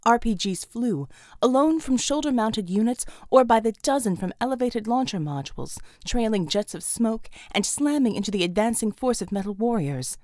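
Higher-pitched woman narrator, dry close sound. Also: lively intonation, moderate pace, darkish tone, dry recording. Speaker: audiobook narrator. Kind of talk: scripted dramatic action narration.

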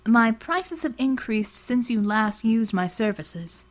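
Woman as cryptic audiobook informant, delicate, breathy, low, carefully neutral, slow and deliberate, demanding. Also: expressive. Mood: coolly neutral. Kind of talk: audiobook character dialogue.

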